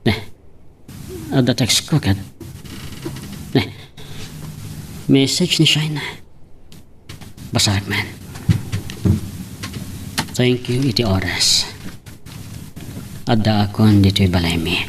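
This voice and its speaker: An earnest, tender young suitor with an Ilocano accent acted by a man speaks slowly and softly, as in a romantic radio drama. There is a light layer of background noise around the voice. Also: lowish voice. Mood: musing, smitten.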